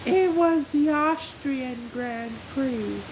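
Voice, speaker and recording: Someone doing a high creaky lady racer reading a kids story, slow and deliberate, hissy home recording. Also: full character voice. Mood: presenting, measured. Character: female racing driver presenting a children's story. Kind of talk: scripted story narration with self-introduction.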